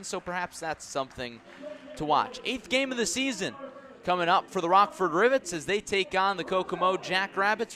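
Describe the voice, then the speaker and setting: A man giving pregame baseball commentary as a radio play-by-play announcer, measured and conversational, leaning on key figures and details. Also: fairly high pitch.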